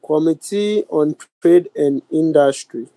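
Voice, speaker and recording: A man with a Ghanaian accent chairing a youth parliament sitting in a formal, deliberate, halting way on a video call. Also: fairly high pitch, creaky, dark tone, no room sound. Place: virtual meeting over video call.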